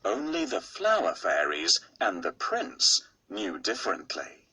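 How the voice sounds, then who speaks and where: A man with a gravelly, husky voice reads a bedtime fairy tale slowly and softly. His tone is bright and sharp, and his pitch moves across a very wide range. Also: wildly swooping pitch, poor audio. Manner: slow, soft storytelling. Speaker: narrator. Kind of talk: storybook read-aloud.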